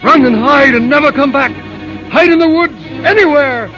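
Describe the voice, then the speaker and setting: A man's voice: extremely high pitch, normal speed. An actor playing a frightened huntsman in an urgent, theatrical 1930s radio style. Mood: urgent warning. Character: frightened, pleading huntsman urging a princess to flee. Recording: muffled 1938 radio recording with music.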